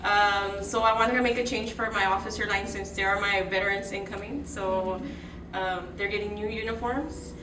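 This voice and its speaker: A woman's voice: mid-range voice, steady tone, normal speed. Hesitant South Texas woman, team director, distant and roomy with background noise. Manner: hesitant, lots of ums and uhs. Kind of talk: casual interview. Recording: echoey, distant, noisy room.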